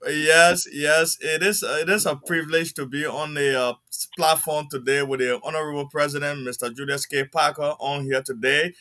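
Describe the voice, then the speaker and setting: A man co-hosting a community talk show talks in an upbeat, chatty manner. His voice is bright and forward, and it sits a little high for a man. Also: steady tone, normal speed, few pauses. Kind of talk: casual talk show chat.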